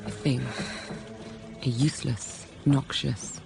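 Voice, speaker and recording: Grave introspective narrator of gothic period drama, measured short phrases, music behind. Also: female voice, extremely low pitch, steady tone, vocal fry, heavily acted. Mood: bleak, brooding. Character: grave, introspective woman narrating her bleak childhood. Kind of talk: literary first-person drama narration.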